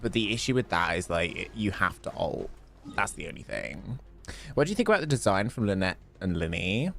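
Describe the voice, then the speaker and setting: A man's voice: low-pitched, sing-song, brisk, creaky, fairly bright tone. A man streaming a game, chatting casually and rambling while answering chat questions. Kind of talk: casual gameplay commentary.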